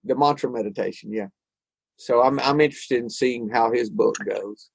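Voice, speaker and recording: A man's voice: mid-range voice, normal speed, creaky, slightly rough, dry recording, poor audio. A man, thinking aloud in a casual podcast conversation about recovery and spirituality. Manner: reflective, thinks aloud with frequent restarts. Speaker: podcast co-host.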